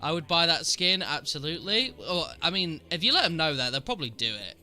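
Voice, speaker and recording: A man's voice: fairly high pitch, big pitch swings, brisk, bright, forceful tone. A man with a cold and a sniffly voice, chatting casually with his stream viewers while answering game trivia aloud. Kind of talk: casual live-stream commentary.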